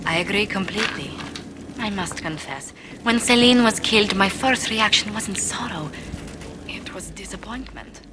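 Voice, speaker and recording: Woman acting as courtly noblewoman diplomat, video game dialogue, refined, wistful, measured, clear background noise. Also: medium pitch, animated, close to an everyday voice. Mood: composed intrigue.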